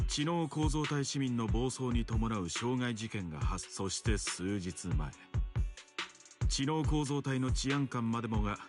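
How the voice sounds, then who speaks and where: A man's voice: mid-range voice, close to an everyday voice. An actor playing a formal, authoritative male investigator who delivers a case briefing in a video game. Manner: formal, measured wording, businesslike. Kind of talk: Japanese game voice acting. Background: game music.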